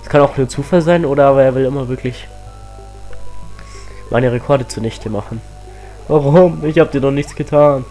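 Chatty teenage gamer with a cold. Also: male voice, mid-range voice, normal speed, long pauses, faint music behind. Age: teens. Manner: chatty, excitable, rambling asides. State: has a cold. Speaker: let's play host. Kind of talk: casual game commentary.

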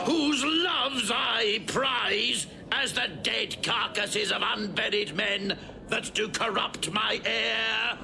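An actor playing a proud, scornful Roman war hero in a declamatory Shakespearean drama style. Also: male voice, extremely high pitch, vocal fry, full character voice. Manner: declamatory, scornful, drawn-out cries. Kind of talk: classical Shakespearean stage acting. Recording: noisy old theatrical recording.

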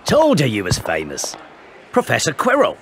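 Game role: a hearty giant, gravelly and forceful, big-hearted and rustic. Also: male voice, medium pitch, huge pitch swings, normal speed, a little hiss or hum. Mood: jovial. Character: big, rough, kindly giant groundskeeper.

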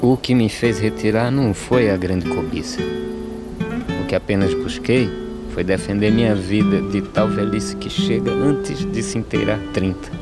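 Actor as a low-voiced, weary drought migrant. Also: male voice, close to an everyday voice. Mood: weary resignation. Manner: solemn, measured verse delivery. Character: weary young male drought migrant, prematurely aged, resigned. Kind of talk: poetic animated-film monologue in verse. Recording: plucked guitar music behind the voice.

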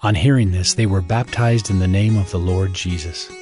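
A male narrator reads a dramatized Bible in a low-pitched voice. His storytelling is formal and measured, with music playing clearly underneath. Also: warm tone.

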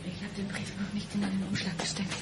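An actress playing a frightened, despairing condemned mother in a radio play, halting and breathy, often near a whisper. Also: medium pitch, monotone, natural voice. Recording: music under the voice.

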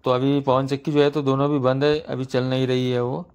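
A man's voice: medium pitch, flat delivery, fairly quick, smooth. A man narrating a casual travel vlog while riding a motorbike, pointing out the sights to his viewers like a tour guide. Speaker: motovlogger. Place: outdoors on a motorbike on a hill road. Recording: loud road and wind noise.

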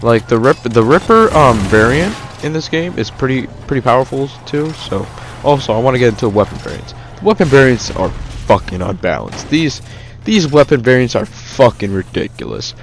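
A man's voice: medium pitch, sing-song, normal speed, faint music behind. A young man casually reviewing a shooter game over gameplay, rambling with many fillers and restarts. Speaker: gaming YouTuber.

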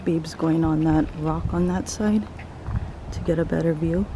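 A woman's voice: low voice, fairly even intonation, measured pace, background noise. A woman in her thirties narrating her hike for viewers in a casual vlog, breathing hard and sighing as she climbs an outdoor trail. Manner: breathy, sighing, tired while hiking uphill. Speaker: vlogger. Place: outdoor coastal hiking trail. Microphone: handheld camera, held by the vlogger.

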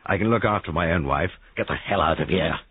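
A man acting a jealous, commanding husband, husky. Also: low-pitched, fairly quick, dry recording. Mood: angry, commanding. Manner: curt, accusing, domineering. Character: Giles, jealous angry husband, gruff and commanding. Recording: narrow-band lo-fi radio-like sound.

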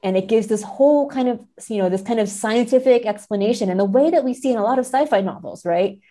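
A woman's voice: a little high, quick pace, warm tone. A woman, a podcast guest, talking analytically in an explanatory way and often checking in with "right?". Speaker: podcast guest, literary scholar.

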